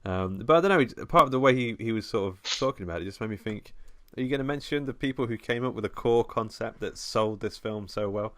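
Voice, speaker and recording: A man with a fairly low voice hosts a horror film podcast, talking in a relaxed, chatty way full of little restarts. He is close on a podcast mic with a dry, dead sound. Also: quick pace, hardly any pauses, no echo. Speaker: podcast host. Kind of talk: casual film podcast chat.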